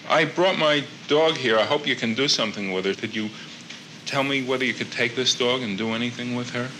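A man's voice: mid-range voice, a little fast, sharp tone. A man asking everyday questions in a hesitant, unscripted way, as a customer. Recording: noisy old field recording.

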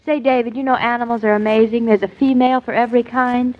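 Woman acting a naive young wife, high girlish voice, old radio drama, muffled lo-fi 1940s sound. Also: fairly even intonation, quick pace, lightly acted. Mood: naive, playful. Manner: girlish, guileless questioning. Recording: dull, lo-fi 1940s radio broadcast.

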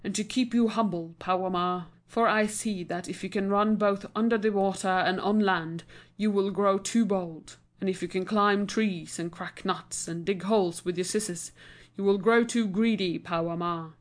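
A stern old male magician from a storybook, as a woman reading aloud puts him on. He hands down judgments in a measured, commanding way at an even middle pitch. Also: natural voice.

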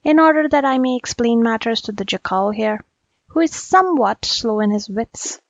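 Woman voicing an anxious, pleading, high-voiced Brahmin. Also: lively intonation, moderate pace, gravelly, no echo, muffled recording. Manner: polite, anxious. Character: wretched, frightened male Brahmin, humble and pleading.

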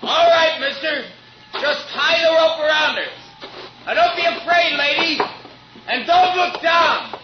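An actor playing a brisk, commanding fireman shouting urgent rescue instructions in a 1940s radio drama. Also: very high-pitched. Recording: echoey, very noisy, muffled old radio.

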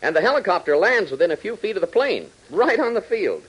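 A man's voice: high voice, very animated, a little fast, fairly bright tone, slightly rough, rough recording. A man with an American accent reading scripted 1940s radio narration in a polished, dramatic announcer's delivery.

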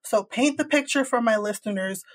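A woman's voice: a little high, normal speed. A young woman hosting her own podcast, talking in a bubbly, blunt way with casual swearing. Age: young adult. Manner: bubbly, blunt, uses profanity. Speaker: podcast host.

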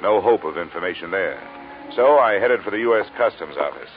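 An actor playing a cool, hard-boiled insurance investigator, narrating and talking in a crisp, wry, businesslike way in an old radio drama. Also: male voice, low-pitched, animated, brisk, over music. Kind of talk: 1950s radio detective narration and acting. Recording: narrow vintage radio recording.

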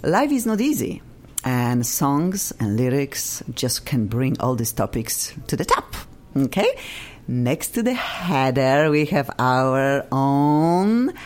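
A woman's voice: extremely low pitch, sing-song, slow. A woman from Poland with a Polish accent, hosting a radio talk show in a bubbly, effusive way and laughing often.

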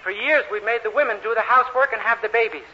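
An American man playing a naive, eager, dim-witted young man in an earnest, excitable 1940s radio comedy style. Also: high voice, quick pace. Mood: pleased, bragging. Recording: hissy, muffled old radio recording.

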